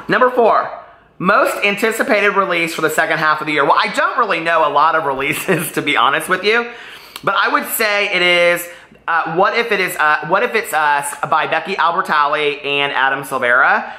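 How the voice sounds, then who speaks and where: A man's voice: fairly high pitch, bright, forceful tone, roomy sound. A man talking casually in a book vlog, chatty, gushing and emphatic, wandering off on tangents. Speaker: book vlogger.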